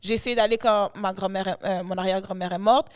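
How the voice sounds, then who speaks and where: A woman's voice: medium pitch, steady tone, fast talker, clear, resonant tone. A woman, a guest singer chatting animatedly in an interview and mixing in English phrases. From Canada. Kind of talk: casual interview chat.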